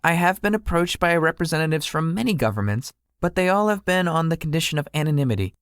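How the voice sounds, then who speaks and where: A man playing a gracious young celebrity tech guru in a visual novel, speaking politely and formally in a measured way. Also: a little high, big pitch swings, fairly bright tone, clean tone, no echo, clean studio sound. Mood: measured, guarded. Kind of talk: visual novel character acting.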